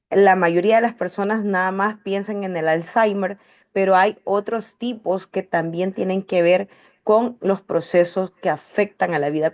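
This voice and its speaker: A woman's voice: mid-range voice, darkish tone, muffled recording. A woman hosting a health testimonial session, talking in loose run-on phrases with frequent restarts. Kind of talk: warm conversational hosting.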